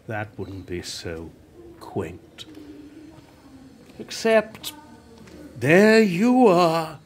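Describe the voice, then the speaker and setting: A man's voice: on the high side, wildly swooping pitch, a little hiss or hum, exaggerated voice. An actor playing a dying elderly male TV writer in a radio play, bitter and guarded, speaking through pain. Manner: bitter, guarded, slow and deliberate.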